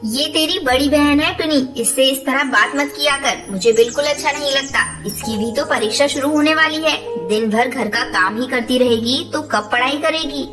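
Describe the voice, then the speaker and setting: A woman's voice: high-pitched, moderate pace, few pauses, close to an everyday voice. A woman voicing a loving, earnest mother bird in a children's cartoon. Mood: scolding. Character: gentle ailing mother bird, loving, gently scolding. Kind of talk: children's cartoon story dialogue. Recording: distant voice under clear music.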